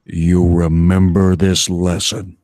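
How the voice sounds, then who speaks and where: A man playing a stern, unflappable vigilante hero in an amateur comic-book dramatization, delivered in a slow deadpan drawl. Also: low-pitched, dry recording, studio quality.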